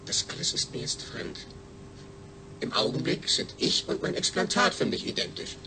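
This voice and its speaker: A man's voice: mid-range voice, very animated, normal speed, background noise. A man playing an eager, needy astronaut's brain speaking emphatically through a speech synthesizer in a radio drama. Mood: reflective. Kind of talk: German radio drama acting.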